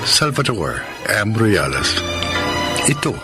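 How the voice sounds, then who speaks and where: A man's voice: fairly low pitch, very animated, slow pace. A man with a Filipino accent hosting a radio drama, reading narration and credits in a solemn announcer style. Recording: loud music under the voice.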